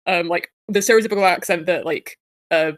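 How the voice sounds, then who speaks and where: A woman's voice: fairly low pitch, a little fast, no echo, studio quality. A young woman from England with a Southern English accent, chatting casually in a rambling way with many fillers. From England, Guildford. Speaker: player. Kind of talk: casual chat.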